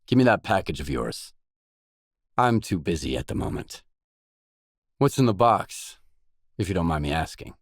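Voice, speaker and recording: An actor playing a wary, world-weary stalker, the blunt protagonist of a video game, in terse acted dialogue. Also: male voice, sits low, sing-song, normal speed, long pauses, no echo, clean studio sound, heavily acted. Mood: businesslike.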